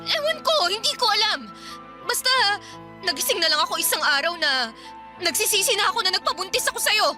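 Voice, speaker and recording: Radio drama role: sharp-tongued Filipino young mother, very high, piercingly bright, confrontational and fed up, music faintly behind. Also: very animated, brisk, heavily acted. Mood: scornful, bitter. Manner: confrontational, accusing, nagging. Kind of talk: heated radio drama dialogue.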